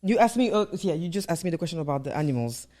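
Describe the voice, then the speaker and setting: A woman co-hosting a podcast in a relaxed, playful chat, asking questions. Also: on the low side, rapid-fire. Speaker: podcast co-host. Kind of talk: casual chat.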